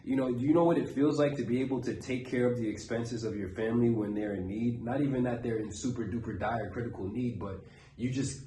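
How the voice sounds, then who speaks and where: A man's voice: medium pitch, fairly even intonation, a little fast, dark tone. A man giving a blunt, profane motivational monologue as a self-improvement coach, circling back to his point again and again. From Brooklyn, New York. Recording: roomy echo, faint background noise.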